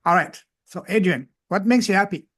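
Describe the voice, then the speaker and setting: A man who grew up in France hosts a podcast interview, speaking with enthusiasm and frequent warm affirmations. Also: sits high, expressive, moderate pace. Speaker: podcast host. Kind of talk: interview hosting.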